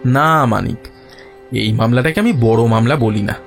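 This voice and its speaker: An actor playing a self-assured, sceptical male detective in an audio drama, reasoning in a measured, dryly ironic way. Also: mid-range voice, close to an everyday voice. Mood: calm, confident. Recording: music behind the voice.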